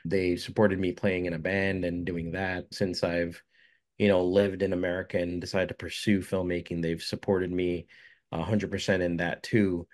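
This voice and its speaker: Casting: very low-voiced young man from jamaica, rambling interview guest. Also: flat delivery, moderate pace. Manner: rambling, many fillers and restarts. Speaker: filmmaker guest. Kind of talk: casual interview answers.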